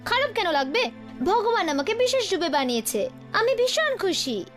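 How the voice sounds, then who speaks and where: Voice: very high voice, very animated, moderate pace, fairly bright tone, heavily acted. A performer voicing a spiteful, self-satisfied bird in a children's cartoon fable, with childlike, defiant bickering. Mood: smug. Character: spiteful, self-satisfied second head of a two-headed bird. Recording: music behind the voice.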